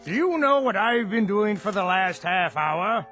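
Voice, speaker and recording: A stern male boss in a radio drama, pitched high and swinging with exasperation. He speaks formally, with dry sarcasm and heavy emphasis. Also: animated, measured pace, sharp tone, faint music behind, exaggerated voice. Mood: dry, sarcastic.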